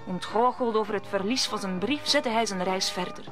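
A woman's voice: medium pitch, normal speed, music in the background. A woman narrating a scripted radio play as a storyteller.

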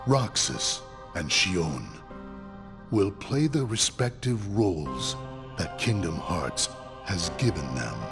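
An actor playing a grave, imperious leader of a dark order in a video game, solemn and portentous. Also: male voice, low-pitched, full character voice. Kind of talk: video game cutscene acting.

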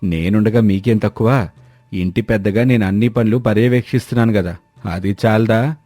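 Man as a lazy, mellow village elder brother. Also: lowish voice, normal speed, no echo, faint music behind, close to an everyday voice. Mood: nonchalant. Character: lazy, carefree elder brother around thirty. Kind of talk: animated moral story dialogue.

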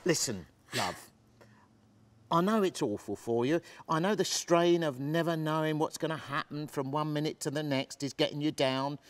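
An actor reading the part of a stubborn, steadfast pub landlord, speaking earnestly and emphatically in a stage drama. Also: male voice, on the high side, moderate pace, natural voice. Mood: earnest, resolute. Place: theatre stage, seated reading.

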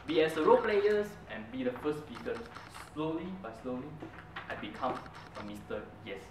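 A man's voice: mid-range voice, normal speed. Young man telling a story in an echoey room. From Brunei. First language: Mandarin. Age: young adult. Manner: earnest storytelling, with an animated, emphatic delivery. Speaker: club speaker. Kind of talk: prepared speech. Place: meeting room, medium size, indoors. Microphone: far camera. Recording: roomy, distant, noisy room recording.

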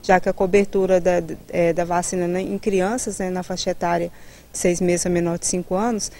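A woman in her thirties with a Brazilian Portuguese accent, answering interview questions as a health official, haltingly and with many tag words, into a reporter's mic outdoors. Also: fairly low pitch, little pitch movement, mellow. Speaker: public health immunization director. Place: outdoors in a building's garden. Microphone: handheld reporter microphone held toward her.

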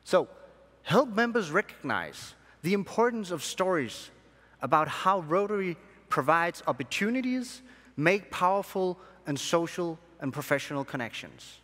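A young man, born in Sri Lanka and raised in Denmark, giving a keynote speech to a convention audience from a large hall stage with measured, emphatic phrasing. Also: high voice, big pitch swings, fairly bright tone. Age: young adult. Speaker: conference speaker. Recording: long hall reverb.

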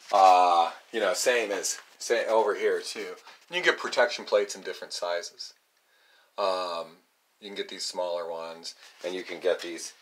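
A man's voice: deep voice, fairly bright tone. A man filming his tiny home build with a handheld phone, explaining things in a plain-spoken way with many ums. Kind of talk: casual how-to vlog. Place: unfinished wooden tiny home under construction, indoors. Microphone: handheld phone camera, filming himself off-screen.

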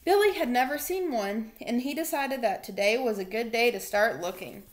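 A young adult woman reads a picture book to little children. Her voice sits a bit high. She is recorded in a room at home, a short way from a computer microphone. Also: moderate pace. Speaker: storytime reader for young children. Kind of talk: read-aloud children's storytime. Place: small home room, indoors.

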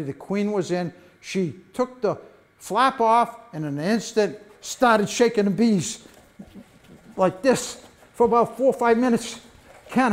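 An older man giving a beekeeping talk to a library audience in a meeting room, telling animated stories and acting out quotes as he demonstrates hive gear. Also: high voice. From United States. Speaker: beekeeping presenter. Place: library meeting room, mid-sized, indoors.

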